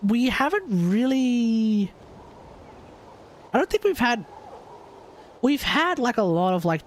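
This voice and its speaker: A male game streamer with a high voice talks casually to viewers. He rambles and gropes for words, swearing offhandedly. Music plays behind him. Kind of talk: casual livestream chat.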